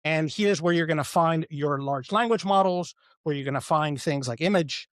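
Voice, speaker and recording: A man's voice: fairly high pitch, moderate pace, no room sound, clean studio sound. A middle-aged man from El Salvador hosting a technical webinar, explaining concepts with enthusiasm and frequent check-ins like "right?" and "okay?". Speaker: webinar host and presenter.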